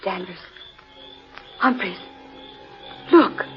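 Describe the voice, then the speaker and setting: A woman's voice: medium pitch, expressive, drawn-out words. An actress playing a frightened, distressed woman lost in a haunted forest, anxious and melodramatic, in old-time radio horror style. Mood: alarmed. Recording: dull old radio recording with music.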